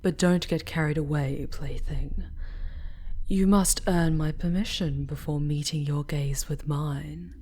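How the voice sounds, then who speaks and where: A woman playing slow, breathy sadistic dark elf mistress. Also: sits low, steady tone. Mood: possessive, commanding. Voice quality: breathy, often whispering. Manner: slow, sultry, haughty and commanding. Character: sadistic, seductive female dark elf noble mistress, possessive and menacing. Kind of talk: intimate ASMR roleplay. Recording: clearly audible background noise.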